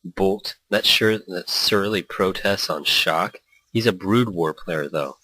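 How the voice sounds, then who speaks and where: Deep, husky-voiced man narrating a let's play. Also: slow pace, bright, forceful tone, no echo, rough recording. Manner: playful, rambling, stumbles over read lines. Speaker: let's play host reading a visual novel aloud. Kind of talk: gaming let's play, reading story text with casual asides.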